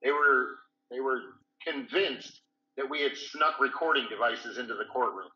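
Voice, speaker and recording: A man's voice: medium pitch, moderate pace, pushed and bright, highs cut off. Man on a roomy, distant call. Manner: hesitant, many fillers, plainspoken. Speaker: guest on a video call. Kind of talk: casual recounting.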